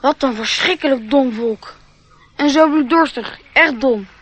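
An inquisitive small boy for a radio play. The voice is high and childlike, polite and a little hesitant, yet outspoken. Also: a little slow, a bit bright, slightly rough, heavily acted. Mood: doubtful.

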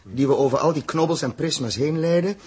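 An actor playing an earnest, resolute spaceship captain with a husky voice and weighty, deliberate delivery in a 1960s radio drama. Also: male voice, on the high side, darkish tone. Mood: calm command. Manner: weighty, deliberate, halting over phrases. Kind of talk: 1960s Dutch science fiction radio drama acting.

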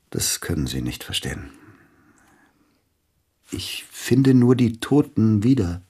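An actor playing a melancholy, self-doubting celebrated pianist in a German radio drama, speaking in a husky, brooding, intimate way. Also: male voice, sits low, mellow, full character voice. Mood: bitter, resigned.

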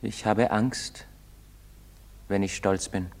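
An actor playing an anxious, brooding young outcast in love in a stage drama, speaking haltingly. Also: male voice, lowish voice, steady tone, darkish tone. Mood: anxious, bitter. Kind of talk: stage-drama acting in German. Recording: very reverberant hall sound.

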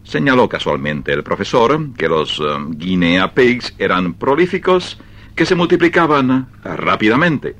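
A man narrating a dramatized short story for radio in an expressive, measured storytelling style. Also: medium pitch.

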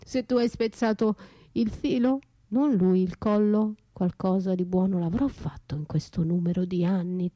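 Radio drama casting: abused wife left for dead, anguished but defiant; unhurried, dark-toned, theatrical, very dry close sound. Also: female voice, mid-range voice, dry recording. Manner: theatrical, drawn-out, breathy, shifting from whispers to cries. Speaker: stage actress and writer performing a solo radio play. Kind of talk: intense poetic radio theatre monologue.

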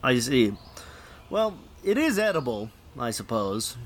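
A man's voice: medium pitch, sing-song, measured pace. A man hosting a radio show with scripted links and comic skits, in a dry, sceptical manner.

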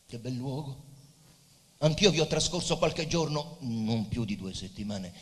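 An actor playing a pensive, confiding poet in a literary stage play, speaking theatrically with hushed drops and long pauses. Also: male voice, mid-range voice, natural voice. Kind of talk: literary stage dialogue with narrated passages. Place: theatre stage or hall with live music. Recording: reverberant hall, music behind the voice.

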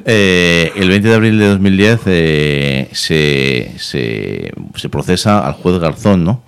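A man's voice: low voice, expressive, slow pace, creaky, clear, resonant tone. A man conducting an interview, prompting his guests with short questions and backchannels.

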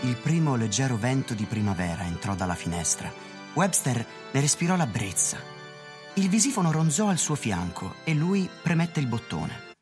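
A woman narrates a radio play in a very low register, with lively, moving intonation. Music plays softly beneath her measured, literary scripted reading.

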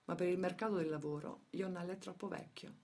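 A woman with a fairly low voice narrates a radio theatre piece. She reads scripted prose as a dramatic storyteller. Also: fairly even intonation, a little fast, dark tone.

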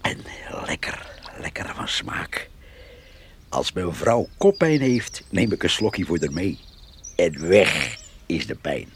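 Radio play: blunt, folksy male villager, lowish and very expressive, slight background noise. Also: slow, heavily acted. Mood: folksy, proud. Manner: folksy, talks to himself. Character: countryman called the fox, chatty, blunt, meddlesome. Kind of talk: Dutch radio drama acting. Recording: noisy radio drama recording.

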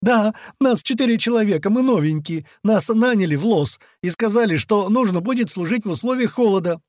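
Man acting a terrified young male captive, high and pleading. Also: moderate pace, a little mellow, dry recording, studio quality. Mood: frantic, confessing. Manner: stammering, frantic. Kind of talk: dramatic audiobook character voice.

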